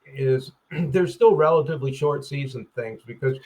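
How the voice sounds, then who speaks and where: A man with a mid-pitched voice talks casually as an interview guest over a webcam. He rambles and halts, clears his throat often, restarts his sentences and chuckles now and then. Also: normal speed, darkish tone. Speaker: plant grower, interview guest. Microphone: webcam video call.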